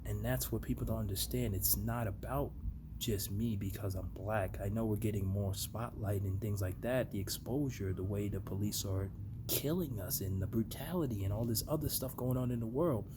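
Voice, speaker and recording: Low-voiced American man, noisy amateur recording. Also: normal speed. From United States. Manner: earnest, reflective, talks to viewers directly. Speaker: vlogger. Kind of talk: casual motivational monologue to viewers. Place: outdoor backyard.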